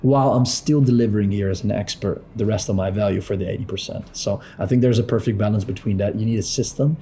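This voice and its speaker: A man talking through a screen-share tutorial as a business coach in a lowered late-night voice, blunt and pushy, swearing freely. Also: fast, warm tone, slight background noise.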